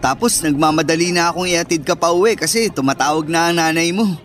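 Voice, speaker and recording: Actor as a lightly high-pitched, devoted male suitor. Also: quick pace, a bit bright, faint music behind, close to an everyday voice. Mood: teasing, affectionate. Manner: tender, teasing, sweet-talking. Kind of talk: radio drama dialogue.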